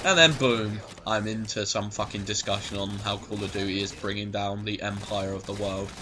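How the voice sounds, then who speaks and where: A man's voice: on the low side, fairly even intonation, moderate pace, noisy background. Rambling, sweary gaming guy. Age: 20s. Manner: rambling, swears a lot, goes off on tangents. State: voice going a bit hoarse. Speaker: gaming commentator. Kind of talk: rambling casual gameplay commentary.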